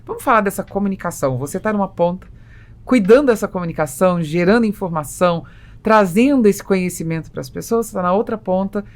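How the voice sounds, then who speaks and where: A woman's voice: medium pitch, fast. A Brazilian woman hosting a conversational podcast interview, putting questions to her guests.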